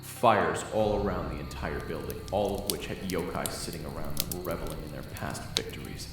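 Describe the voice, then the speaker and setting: A man's voice: extremely low pitch, normal speed. A man narrating a first-person scripted story for an audio roleplay. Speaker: narrator and voice actor. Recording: roomy, distant sound under loud music. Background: loud game and anime music.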